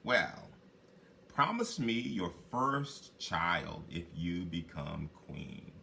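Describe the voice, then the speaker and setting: A man voicing a sly, insistent little magical man from a fairy tale, making emphatic, deliberate demands. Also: deep voice, very animated, creaky, pushed and bright, slight background noise, voice pushed down, full character voice. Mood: sly bargaining.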